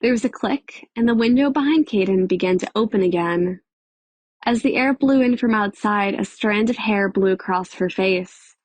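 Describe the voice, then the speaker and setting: A woman narrating a dramatic audiobook in a measured, expressive storytelling manner. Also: medium pitch, no echo. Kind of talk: scripted dramatic fiction narration.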